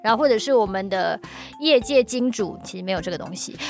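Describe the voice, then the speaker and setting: A woman's voice: medium pitch, expressive, quick pace. A woman with a Taiwanese Mandarin accent hosting a casual podcast chat in a playful, teasing way. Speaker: podcast host. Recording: music playing underneath.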